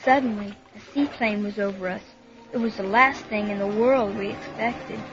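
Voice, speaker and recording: A woman's voice: mid-range voice, moderate pace, noisy background, natural voice. A woman playing an earnest, frightened young boy who narrates an adventure story dramatically. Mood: tense storytelling. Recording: dull lo-fi recording, music behind.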